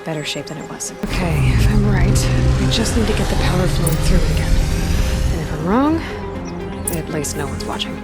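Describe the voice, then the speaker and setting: A woman's voice: on the low side, lively intonation, a little fast. A woman playing a headstrong, skeptical young huntress in a video game, with a dry, determined delivery. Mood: musing. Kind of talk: naturalistic video game acting. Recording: very loud music behind.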